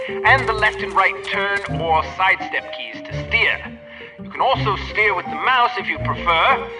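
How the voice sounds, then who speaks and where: A man's voice: high voice, pushed and bright, recorded from a distance, full character voice, rough recording. A man playing an upbeat, precise spy gadget instructor in a scripted video game tutorial.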